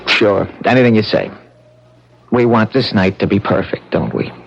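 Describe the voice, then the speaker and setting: An actor in an old-time radio drama playing a pushy, folksy young country-born bridegroom who talks in a colloquial, cajoling way. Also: male voice, on the low side, fairly even intonation, moderate pace, creaky. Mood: relaxed, musing. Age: young adult. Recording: dull, noisy old radio broadcast.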